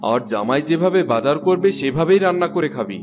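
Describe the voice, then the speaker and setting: A man's voice: a little high, animated, fast talker, over music, natural voice. A man voicing an older father in a cartoon, firm and admonishing, lecturing his grown child. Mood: stern. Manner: chiding, lecturing. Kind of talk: Bengali cartoon voice acting.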